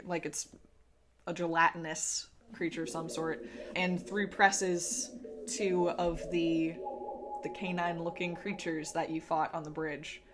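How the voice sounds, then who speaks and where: Woman game master over clear background noise. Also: fairly low pitch, little pitch movement, a little slow. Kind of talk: tabletop game narration mixed with casual table talk.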